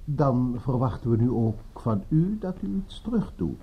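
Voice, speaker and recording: An actor playing a smooth, commanding boss in a radio play, formal and insinuating, with veiled menace. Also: male voice, medium pitch, very animated, normal speed, lightly acted. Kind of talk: radio drama dialogue. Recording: muffled, noisy radio play recording.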